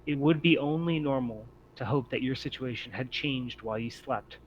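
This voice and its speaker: A man reading scripted, descriptive scene-setting narration as game master of a tabletop game, in a measured storytelling way. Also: medium pitch, highs cut off. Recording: noticeable background noise.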